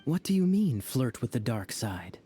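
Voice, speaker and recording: A man's voice: medium pitch, big pitch swings, moderate pace, mellow, faint music behind, lightly acted. A man playing a sly teasing knight. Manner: smooth, teasing and playful. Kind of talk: video game voice acting.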